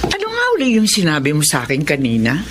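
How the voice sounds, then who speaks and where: A woman playing a sensible, challenging female doctor in a Filipino radio drama, with an expressive delivery. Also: sits low, fast talker, noisy background. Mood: questioning. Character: questioning female doctor, sensible partner of older doctor.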